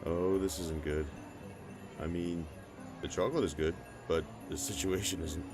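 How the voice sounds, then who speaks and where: A man's voice: deep voice, fairly even intonation, a little slow, music in the background. A man playing a shaken, kindly old mall Santa in a radio drama.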